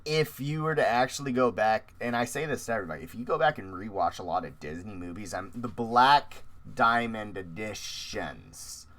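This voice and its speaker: A man hosting a casual interview with cosplayers, talking in an animated, rambling way and laughing often. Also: fairly low pitch, moderate pace, few pauses. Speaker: interview host.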